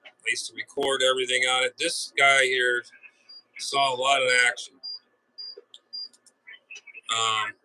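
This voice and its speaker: A man rambling casually to viewers, aggravated and blunt, telling stories in a halting, repetitive way with some mild swearing. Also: medium pitch, level tone, bright, forceful tone, rough recording. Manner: exasperated, blunt, mildly profane. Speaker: amateur radio hobbyist streamer.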